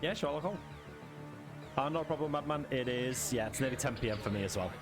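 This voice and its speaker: A man's voice: medium pitch, animated, a little fast. A man with a Liverpool accent, chatting casually on his game stream, thanking viewers and chuckling often. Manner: chatty, thanks chat, frequent chuckles and fillers. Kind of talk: relaxed live-stream chat. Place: home. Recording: clear background music. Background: music.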